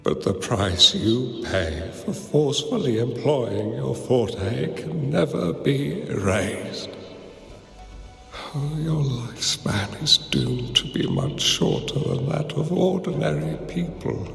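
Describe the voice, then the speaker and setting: A man playing a wise, solemn old mentor in a game cutscene, speaking in a grave, measured way. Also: medium pitch, expressive, gravelly, a bit bright, heavily acted. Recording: roomy reverb with game music behind.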